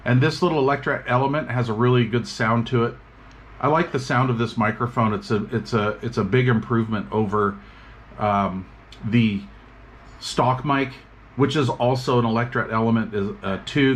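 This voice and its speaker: A man's voice: mid-range voice, normal speed. A man with an everyday mid-range voice talks through a product review in a loose, chatty way, full of little fillers and restarts. He sounds like he is working at a tabletop as he talks. A faint hiss sits under the recording. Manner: relaxed, chatty, many fillers. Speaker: radio gear reviewer.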